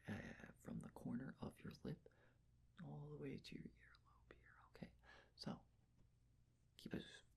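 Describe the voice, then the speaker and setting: A man speaking in a breathy whisper, gently and reassuringly giving step-by-step instructions in an ASMR personal-attention roleplay. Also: on the high side. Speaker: ASMR roleplayer taking face measurements.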